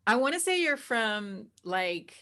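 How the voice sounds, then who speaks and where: An American woman chatting casually as an interview guest, animated and anecdotal. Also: a little high, measured pace. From New Jersey, United States. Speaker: interview guest, actor and game master. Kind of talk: casual chat.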